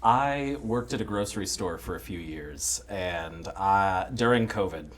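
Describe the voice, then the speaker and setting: A man talking casually as a guest in a relaxed conversation. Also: sits low, a little slow. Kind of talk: casual chat.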